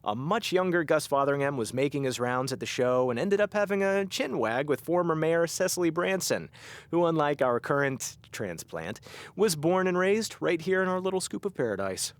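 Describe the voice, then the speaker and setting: An actor playing an awkward, hesitant small-town radio host who rambles dryly in an audio comedy. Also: male voice, fairly high pitch, expressive, normal speed, no room sound. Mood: presenting.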